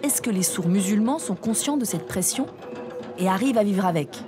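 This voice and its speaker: A woman reporter narrating and interviewing people at an outdoor event, calm and clear. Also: mid-range voice, normal speed, music in the background. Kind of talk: documentary narration and street interviews.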